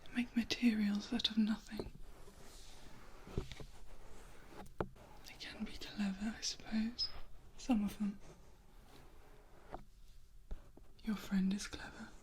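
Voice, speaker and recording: A woman playing an ancient, protective female vampire in an ASMR roleplay, in a soft, breathy whisper with formal, old-fashioned phrasing. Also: medium pitch, steady tone, normal speed, natural voice. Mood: dryly amused. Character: ancient, protective, softly disdainful female vampire tending a loved one.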